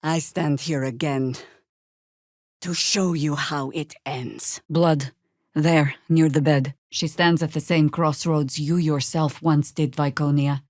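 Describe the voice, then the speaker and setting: Slow-spoken, stern old warrior druid. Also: female voice, deep voice, fairly even intonation, a little mellow, no echo. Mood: grave, measured. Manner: measured, dry, commanding. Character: weathered old female Harper druid warrior, stern, wry. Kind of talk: dramatic video game voice acting.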